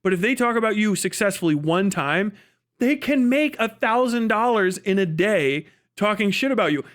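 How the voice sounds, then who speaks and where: A man's voice: sits high, animated, normal speed, a bit bright. A man giving casual livestream commentary in an emphatic, rambling, profane way. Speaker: streamer.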